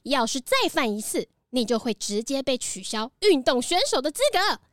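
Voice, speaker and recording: A woman's voice: high voice, very animated, normal speed, no room sound, studio quality. A woman voicing a strict, exasperated antelope who scolds in a children's audio drama. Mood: stern. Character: scolding antelope sports official, strict and disapproving.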